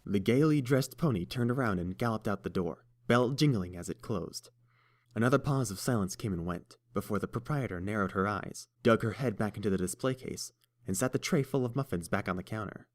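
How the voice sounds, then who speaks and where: A man reading fan fiction aloud in a measured storytelling manner. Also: on the low side. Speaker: audiobook reader. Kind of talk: fan audiobook narration.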